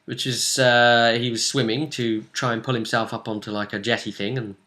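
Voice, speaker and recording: A man's voice: medium pitch, little pitch movement, normal speed, sharp tone. A man casually recapping a tabletop role-playing session as game master, rambling and dropping into characters' lines as he goes.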